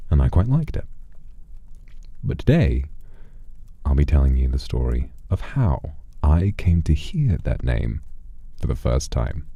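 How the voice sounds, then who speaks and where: A man with an extremely low, velvety dark and husky voice plays the storytelling streamer. He speaks deliberately and theatrically, leaving dramatic pauses between phrases as he spins his tale for listeners. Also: no room sound, muffled recording. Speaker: streamer telling a story in persona as a demon. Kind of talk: slow atmospheric first-person storytelling to a livestream audience.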